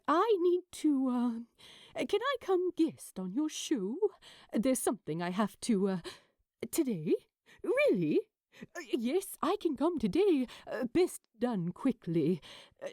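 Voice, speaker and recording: A woman's voice: on the high side, dark tone, no echo, clean studio sound. A woman voicing a fussy, polite man in an audiobook story, hesitant and stammering as he hides his distress. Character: fussy, polite male actor hiding distress on the phone.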